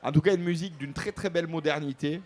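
A man's voice: a little high, fast. A man hosting a live music show, interviewing casually on stage in a chummy, teasing, informal way.

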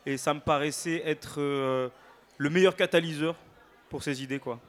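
A man's voice: fairly high pitch, normal speed. A male singer chatting casually as an interview guest on stage, informal and playful.

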